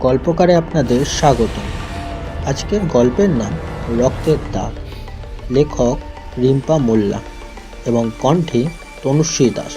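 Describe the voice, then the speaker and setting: A man's voice: medium pitch, expressive, unhurried, long pauses. A man reading out a scripted introduction as a story announcer. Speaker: announcer of title and credits. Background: music.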